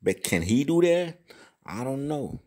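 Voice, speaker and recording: A man's voice: medium pitch, big pitch swings, a little slow, darkish tone. A man hosting a boxing channel, giving casual, profane commentary and often asking "you know what I mean?".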